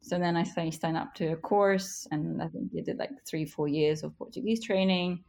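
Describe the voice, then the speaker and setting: A woman in her thirties, a native speaker of Russian and Ukrainian, gives interview answers with slow, emphatic stresses. She sounds encouraging and earnest, and her voice sits fairly low. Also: steady tone, gravelly, darkish tone. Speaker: guest, author and business leader.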